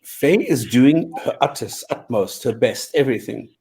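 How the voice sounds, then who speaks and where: Casting: male co-host, mid-pitched, measured, given to repeating himself while he clarifies. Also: animated, a little mellow, rough recording. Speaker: podcast co-host. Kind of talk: casual discussion, reading translations.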